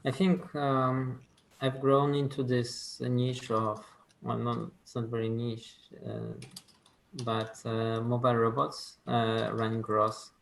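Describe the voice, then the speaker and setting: A man speaks slowly over a video call, his voice gravelly and his pitch flat. He pauses often with fillers as he answers questions. The room around him sounds echoey. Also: a little hiss or hum. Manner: slow, hesitant, with many fillers. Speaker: robotics consultant answering questions. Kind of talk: casual video call chat.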